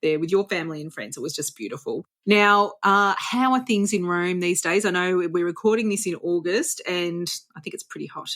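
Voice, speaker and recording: A woman's voice: lowish voice, expressive, a little fast, few pauses, fairly bright tone. A woman hosting a travel podcast, upbeat and chatty, sprinkling in Italian greetings. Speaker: podcast host. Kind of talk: casual interview chat.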